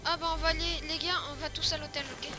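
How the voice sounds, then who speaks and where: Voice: sits high, little pitch movement, rapid-fire, natural voice. A performer with a hesitant, repetitive delivery improvising a bossy survivor leader in a French zombie game roleplay. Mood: urgent. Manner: hesitant improvising, repeats words.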